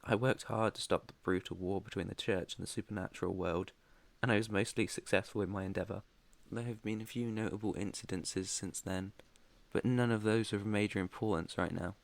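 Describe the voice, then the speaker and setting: A man's voice: on the low side, fairly even intonation, a little fast, creaky. A British man playing an ancient vampire master in an ASMR roleplay, proud, protective and tender, with an earnest, slightly formal way of talking. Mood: confident, reassuring. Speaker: roleplay voice performer.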